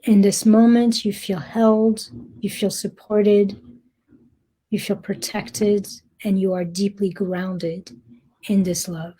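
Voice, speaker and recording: Slow, breathy woman guiding a meditation. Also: mid-range voice, level tone. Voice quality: soft, breathy. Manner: gentle, deliberate, with long pauses. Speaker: meditation guide. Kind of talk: guided meditation, slow soothing narration.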